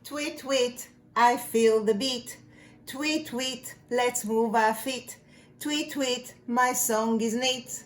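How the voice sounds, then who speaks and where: A woman's voice: fairly high pitch, extremely slow, voice pushed up. A woman voicing a cheerful little male songbird in a children's storybook, chanting in a rhythmic sing-song. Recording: echoey room with faint background noise.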